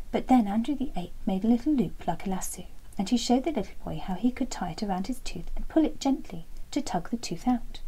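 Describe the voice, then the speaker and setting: A woman narrating a children's bedtime story with a gentle, expressive storybook delivery. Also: mid-range voice, slightly rough, poor audio.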